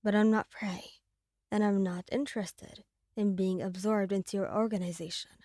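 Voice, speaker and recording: A woman playing a guarded, defiant masked rival crime boss, speaking in a steady, controlled way in an audio roleplay. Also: medium pitch, moderate pace, no room sound, clean studio sound, close to an everyday voice.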